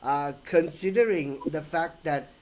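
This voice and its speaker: A man's voice: on the high side, a little slow, darkish tone. Chatty man hosting a podcast interview. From Sri Lanka. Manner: chatty, frequent restarts and fillers. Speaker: interviewer host.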